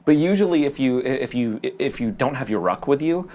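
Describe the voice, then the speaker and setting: Female player, very low, lively pitch, rambles. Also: moderate pace, slight background noise. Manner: rambling, restarts often. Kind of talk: tabletop game chat.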